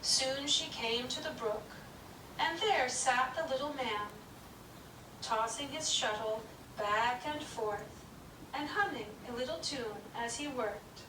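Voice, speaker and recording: A woman storyteller narrating a puppet show story in a gentle, measured reading. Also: sits high. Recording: distant, roomy, noisy room.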